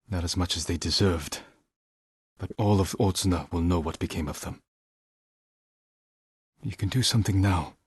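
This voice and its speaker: Deep-voiced solemn samurai warrior. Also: male voice, slightly rough, no echo, studio quality, exaggerated voice. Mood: grave, determined. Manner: measured, earnest, formal delivery. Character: solemn, resolute male samurai warrior sworn to justice. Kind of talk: dramatic video game voice acting.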